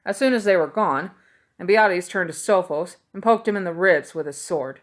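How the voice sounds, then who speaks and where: A woman's voice: on the low side, normal speed. A woman reading a novel aloud as scripted audiobook narration. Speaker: audiobook reader.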